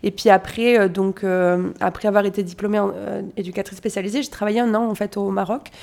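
A woman's voice: mid-range voice, fairly even intonation, fast talker, creaky, darkish tone. A woman in her thirties from France, a doula, talking casually in an interview, hesitant with many fillers and restarts, recorded in a small family café. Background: family chatter in the café.

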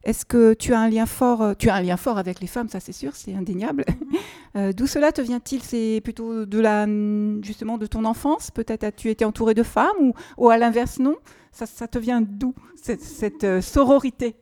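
A woman hosts the interview, asking friendly questions and feeling her way to each word. Her voice sits a little high but sounds soft and mellow. She is recorded in a small café. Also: normal speed. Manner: searching for words, friendly questioning. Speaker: interviewer, radio host.

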